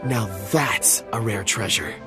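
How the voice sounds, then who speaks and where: A man's voice: lowish voice, slow pace. A man voicing an affable, theatrical scholar of rocks with courteous, flowery speech in a video game. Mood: admiring, lyrical. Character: affable, theatrical, scholarly male rock appraiser, forgetful wanderer. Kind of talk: scripted video game dialogue. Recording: music playing behind the voice.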